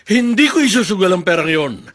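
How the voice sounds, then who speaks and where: A man playing a hot-tempered gambler husband, shouting defensively in a radio drama. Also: high voice, lively intonation, fairly quick. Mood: angry, defensive.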